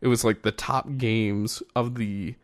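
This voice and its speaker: A man's voice: lowish voice, steady tone, measured pace, no room sound, clean studio sound. A man in his twenties hosting a solo podcast, rambling casually, swearing freely and laughing at himself. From United States. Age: 20s. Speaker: podcast host.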